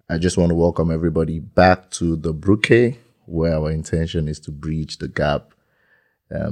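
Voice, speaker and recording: A man's voice: low-pitched, dark tone. A man hosting a casual interview podcast, hesitant and often repeating words as he starts a question. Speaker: podcast host.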